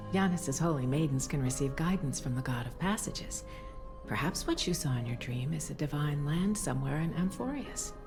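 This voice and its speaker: A tender mother and temple priestess speaks low and softly with a breathy edge. Her delivery is soothing, measured and formal, as game dialogue over clear music. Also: lively intonation. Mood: gentle. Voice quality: soft, breathy. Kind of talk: voiced game cutscene dialogue.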